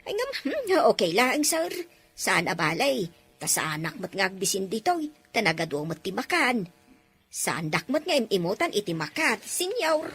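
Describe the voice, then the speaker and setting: A woman's voice: medium pitch, sing-song, moderate pace, fairly bright tone, husky, full character voice. A woman voicing a timid, loyal middle-aged housemaid who answers her employers with deference in a radio drama. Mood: deferential. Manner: deferential and flustered, keeps saying sir and ma'am.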